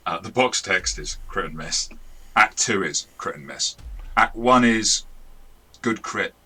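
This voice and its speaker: A man with a very low but sharply bright, husky voice chats through a game review. He speaks haltingly and restarts his sentences as he sums up. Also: animated. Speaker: game master and co-host. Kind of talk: casual review chat.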